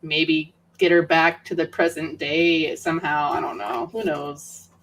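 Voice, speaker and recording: A woman chatting casually about books in a halting, tentative, informal way, as co-host of a livestream. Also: sits low, fairly even intonation, vocal fry, slight background noise, rough recording. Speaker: co-host of a book readalong livestream.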